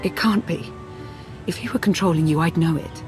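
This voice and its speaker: An actress playing a cautious, loyal female adviser in a video game, with measured, formal delivery. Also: lowish voice, a little mellow, close to an everyday voice. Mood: concerned, guarded. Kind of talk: video game cutscene acting. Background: music.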